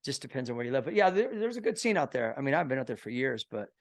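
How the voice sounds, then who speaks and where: A middle-aged man from Kansas City hosting a podcast in a casual, excitable way, full of slang. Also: mid-range voice, very fast. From Kansas City, USA. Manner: excitable, slangy, says "bro" and "dude" a lot. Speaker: podcast host. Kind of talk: casual interview chat.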